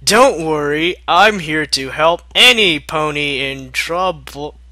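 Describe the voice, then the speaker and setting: A man's voice: a little high, lively intonation, slow pace, bright, forceful tone, no echo, clean studio sound, pitched above the performer's own voice, full character voice. A man voicing a cocky, helpful, tomboyish female flying pony in a breezy, confident manner. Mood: reassuring.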